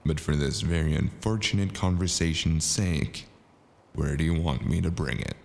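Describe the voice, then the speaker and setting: An actor playing a cynical, deadpan mercenary in a noir audio drama, with a deep gravelly voice. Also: male voice, normal speed. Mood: grudging. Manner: sardonic, deadpan. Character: cynical, sarcastic mercenary man narrating his own noir tale.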